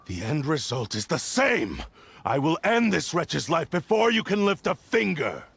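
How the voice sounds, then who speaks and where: An actor voicing an arrogant, menacing cursed blade possessing a man's body, speaking haughtily and declaiming threats in a gravelly voice. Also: on the high side, big pitch swings, measured pace, a bit bright, a little hiss or hum, full character voice. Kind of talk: game voice acting. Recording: game soundtrack under voice.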